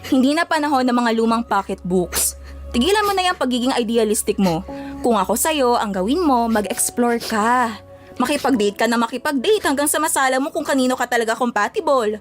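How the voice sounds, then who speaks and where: A woman with a Filipino accent acting a sassy, frank young best friend in an audio drama, slangy and expressive. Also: fairly high pitch, brisk, soft background music, lightly acted. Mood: frank, advising.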